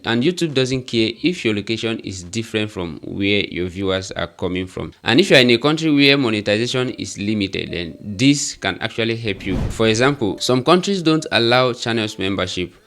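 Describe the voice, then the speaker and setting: A man's voice: on the low side, expressive, normal speed, hardly any pauses, a bit bright. A man with a Nigerian accent, explaining steps in an earnest, repetitive tutorial manner. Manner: earnest, repetitive step-by-step instruction. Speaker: YouTube growth tutor. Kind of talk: tutorial talking to camera.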